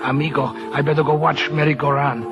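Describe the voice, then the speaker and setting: A man's voice: on the high side, flat delivery, fairly quick, lightly acted. A man playing a kindly, humble Mexican carousel operator in broken, Spanish-sprinkled English, in 1940s radio drama style. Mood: soothing. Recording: muffled vintage radio recording with music.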